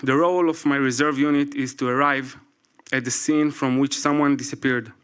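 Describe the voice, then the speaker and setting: A man in his forties with an Israeli accent, a native Hebrew speaker, reading a prepared talk from his phone to a live audience. He speaks carefully, with pauses and apologies. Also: medium pitch, steady tone, a bit bright, clear, resonant tone. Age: 40s. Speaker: speaker at a public event. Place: event stage before a live audience.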